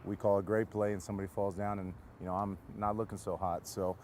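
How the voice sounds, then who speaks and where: A man's voice: on the low side, flat delivery, fast talker, darkish tone, slight background noise. An American man from San Diego, a football coach giving casual interview answers outdoors beside a field. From San Diego, California.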